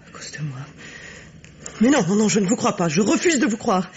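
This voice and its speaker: A woman's voice: mid-range voice, very animated, a little fast, lightly acted. An actress playing a proud young lady, alarmed and insistently defensive, in the melodramatic manner of 1950s French radio drama. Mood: distraught denial. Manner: agitated, breathlessly insistent. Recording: dull lo-fi old radio, noisy.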